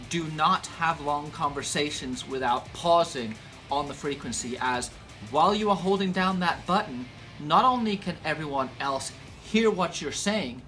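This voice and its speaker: A man's voice: fairly high pitch, sharp tone. A man hosting an informal instructional video, speaking emphatically with playful turns of phrase. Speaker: host of a gear and vehicle channel.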